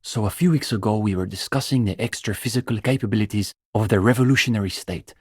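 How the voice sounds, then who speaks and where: An earnest young student intellectual for a video game. His voice sits a little low with some huskiness, recorded close and dry. He lectures in a bookish, opinionated way, smugly sure of his doctrine. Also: quick pace, breathy edge, no room sound. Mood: pedantic, aggrieved. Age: young adult. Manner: intellectual, opinionated, dryly pedantic. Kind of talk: video game dialogue voice acting.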